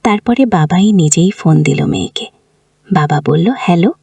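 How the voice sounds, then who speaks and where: A woman's voice: low voice, expressive, normal speed, creaky, warm tone, slightly rough, dry recording. A woman tells a family story in an informal, colloquial way, as a storyteller who voices the dialogue herself.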